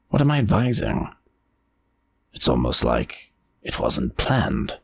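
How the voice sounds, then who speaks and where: A gravelly, wry royal advisor, acted by a man for an audio drama. The delivery is slow and deliberate, with lively swings in intonation. The musing is formal, droll and bemused. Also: heavily acted. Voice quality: very deep, gravelly. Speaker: amateur voice actor. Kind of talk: theatrical in-character ad-libbing in audio drama outtakes.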